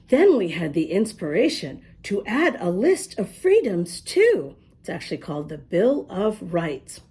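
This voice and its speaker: A woman reading a picture book aloud to young children, expressively, pausing to ask them questions. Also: mid-range voice, mellow, breathy edge. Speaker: children's storybook reader and host.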